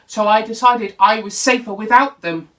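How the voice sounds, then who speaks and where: A man voicing a lively, daredevil rabbit in a children's storybook. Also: sits high, normal speed, voice pushed up. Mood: explaining. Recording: voice distant from the mic.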